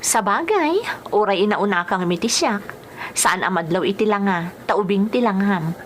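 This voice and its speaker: A woman's voice: mid-range voice, expressive, normal speed, a little hiss or hum, natural voice. A woman with a Filipino accent acting an emotional domestic worker abroad in a radio drama. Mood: coy.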